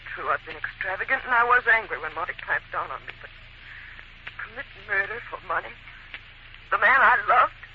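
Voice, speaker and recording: A woman's voice: medium pitch, animated, a little fast, lightly acted. Actress as a melodramatic woman, old radio mystery. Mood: wounded. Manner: emphatic, theatrical. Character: women suspects in a murder mystery, melodramatic, wounded. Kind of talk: 1940s radio acting. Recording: muffled, hissy 1940s radio recording.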